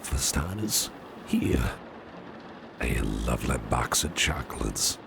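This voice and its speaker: A man acts out a protective gentleman boss in an ASMR roleplay, with an extremely low, gravelly voice. He speaks slowly and softly, often close to a whisper, reassuring and courteous, over clearly audible background ambience. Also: very animated, background noise, heavily acted. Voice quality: very deep, gravelly, often breathy and near-whispered. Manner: gentle, reassuring, unhurried, repeats phrases softly. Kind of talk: ASMR roleplay, soft personal attention.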